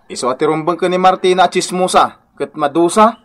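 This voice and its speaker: A man's voice: high-pitched, brisk, fairly bright tone. An actor playing a falsely accused village man, defensive and insistent, in a Filipino radio drama. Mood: aggrieved. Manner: protesting, insistent.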